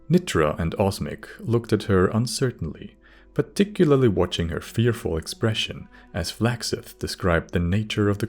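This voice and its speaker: A man reads a fantasy audiobook as its narrator. His voice is deep, delivering scripted descriptive prose. Light music runs behind him. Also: a little mellow.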